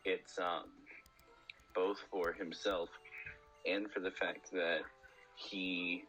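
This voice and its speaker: A man's voice: sits low, level tone, extremely slow, fairly bright tone, low-quality recording. A man hosting a casual podcast conversation in an analytical, conversational manner. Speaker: podcast host. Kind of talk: casual chat about cartoons. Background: game music.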